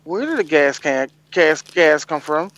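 A higher-pitched man riffs on a movie with a podcast co-host, joking and mocking characters in put-on voices. The recording is dry and close, with a slightly dull, muffled top end. He talks fast when he gets going. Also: dry recording. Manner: joking, animated, breaks into mocking impressions. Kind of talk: casual comedic movie-review chat.

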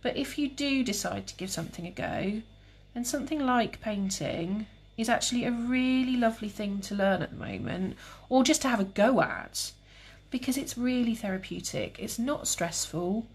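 Calm tutor, young woman, breathy, easygoing live demo talk. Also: a little high, normal speed, hardly any pauses. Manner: calm, relaxed, chatty with viewers. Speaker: cake decorating tutor. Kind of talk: live demonstration with chat.

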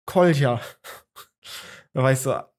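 A man's voice: mid-range voice, measured pace. A young man talks casually on a home podcast, rambling along with many fillers and restarts. He sits close to a studio microphone in a small room at home. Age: young adult. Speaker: podcast host. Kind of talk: casual chat. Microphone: studio mic on a boom arm, video call.

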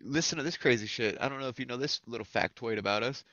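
A man's voice: medium pitch, fast, bright, forceful tone, no echo. American guy hosting open mic on a headset, gushing and swearing, dry dull lo-fi audio. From Florida, United States. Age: 30s. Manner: effusive, slangy, swears freely. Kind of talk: casual profane chat on a video call. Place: indoors at a computer, video call. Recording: dull, lo-fi video call audio.

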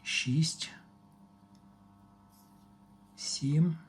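A man's voice: fairly high pitch, steady tone, drawn-out words, slight background noise. A man giving an unscripted, matter-of-fact technical demonstration, talking in short fragments as he works. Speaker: hobbyist repair technician presenting a demonstration.

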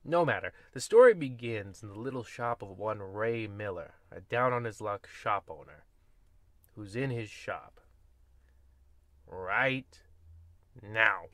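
A man's voice: fairly low pitch, expressive, slow, long pauses, a bit bright, no room sound. A man playing a wry, rambling host of mock-ominous horror tales in an old-time radio style. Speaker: narrator.

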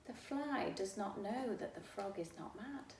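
A middle-aged woman reading a children's book aloud in an expressive, deliberate, rhythmic way. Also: mid-range voice, gravelly, far from the mic. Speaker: storyteller. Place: living room at home. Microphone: camera a few feet away. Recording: echoey room, faint background noise.

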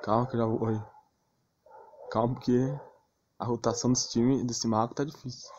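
A Brazilian man talks casually over his own game in a middle-pitched, somewhat dark voice. He grumbles and swears in loose slang. The recording is dull and lo-fi. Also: flat delivery, normal speed. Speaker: gamer commentating own gameplay. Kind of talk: casual gameplay commentary. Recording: dull lo-fi mic with clear background noise.

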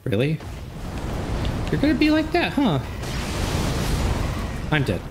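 A young man with a stuffed-up nose giving casual, jokey commentary while playing a game, breaking into excited outbursts. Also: fairly high pitch, slow, noisy background. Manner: chatty, jokey, excitable outbursts during fights. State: stuffed up from a cold. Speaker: gameplay commentator. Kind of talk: casual let's play commentary. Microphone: desk microphone.

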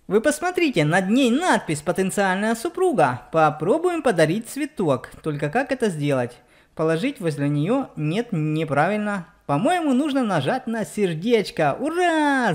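A woman giving explanatory game commentary for children, speaking directly to her young viewers. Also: medium pitch. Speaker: let's play narrator on a children's channel.

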